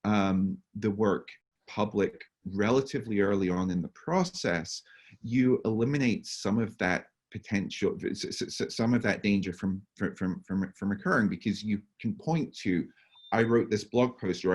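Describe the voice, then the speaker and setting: A man with a hoarse, fading voice and a cough, answering workshop questions over a video call with many stammered restarts and fillers. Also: fairly low pitch. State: hoarse, losing his voice with a cough. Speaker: workshop presenter.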